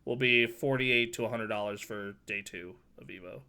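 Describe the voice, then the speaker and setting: A man co-hosting a gaming podcast, with a nasal but bassy voice, talking loosely, joking and swearing freely. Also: moderate pace, pushed and bright, clear, resonant tone. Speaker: podcast co-host. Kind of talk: casual gaming chat. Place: home streaming setup.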